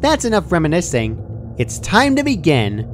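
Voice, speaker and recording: A man's voice: fairly high pitch, huge pitch swings, moderate pace, voice pushed up. A man voicing a cheerful, childishly chatty, menacing female pony in a perky, scolding manner, reading a story aloud. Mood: chirpy, menacing. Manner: perky, scolding, sing-song matter-of-fact chatter. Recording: clearly noisy home recording.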